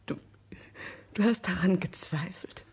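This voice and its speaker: An imploring wife in a radio play. Her voice is very breathy and creaky, fairly low, and she speaks slowly, in anguish. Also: a little mellow, whispered, full character voice. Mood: anguished, reproachful. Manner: halting, imploring. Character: anguished, pleading wife of a businessman. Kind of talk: German radio drama acting.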